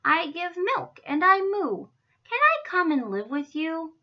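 A woman's voice: very high voice, very animated, measured pace, pitched above the performer's own voice, heavily acted. A woman voicing a meek, friendly, self-doubting female cow in a children's story, earnest and singsong, slightly dull recording. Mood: hopeful, timid.

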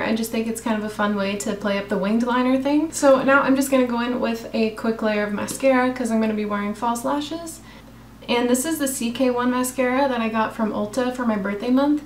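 Chatty young woman with a slightly raspy voice. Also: fairly high pitch, roomy sound, a little hiss or hum. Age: young adult. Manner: chatty, self-deprecating, talks while applying makeup. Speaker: makeup vlogger. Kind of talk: casual makeup tutorial. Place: small room in her apartment. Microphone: camera close in front of face.

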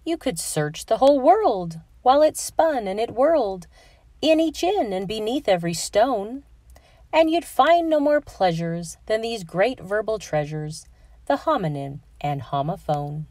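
Female children's read-aloud narrator, unhurried and very animated, careful diction, dry close sound. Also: medium pitch, dry recording.

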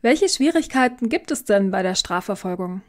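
A woman with a medium-pitched voice hosts a podcast. She speaks in a prepared moderator's style, introducing and asking questions. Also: lively intonation, moderate pace. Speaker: podcast host. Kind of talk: scripted podcast moderation, interviewing.

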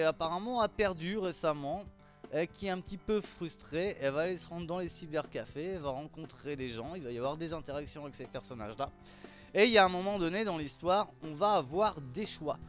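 Middle-aged male game presenter, chatty and repetitive for emphasis, slightly high register, indoor headset recording with backing music. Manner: conversational, repeats words and phrases for emphasis. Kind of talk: casual game showcase commentary.

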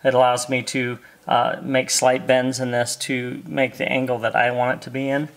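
A man's voice: medium pitch, monotone, normal speed, rough recording. A middle-aged American man giving a casual, easygoing hands-on tutorial, talking as he works inside a parked car. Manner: easygoing, talking while working with his hands. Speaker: host of a car accessory install video. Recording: faint background noise and clicks.

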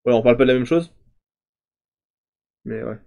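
A man in his thirties casually reviewing card previews on a stream, chatty and thinking aloud, often trailing off into quieter asides. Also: medium pitch, brisk, gravelly. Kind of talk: casual game commentary. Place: home streaming room, indoors.

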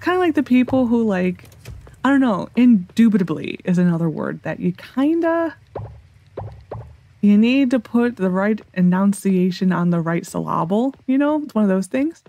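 A woman's voice: medium pitch, big pitch swings, normal speed, dark tone, muffled recording. A woman with a General American accent giving casual gameplay commentary, rambling as she thinks aloud to herself. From United States. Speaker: gaming video host.

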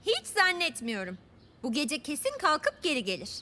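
A woman voicing a bright, confident young girl who explains things in a children's cartoon. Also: high-pitched, huge pitch swings, moderate pace, heavily acted. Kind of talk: children's cartoon dub acting. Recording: music under the voice.

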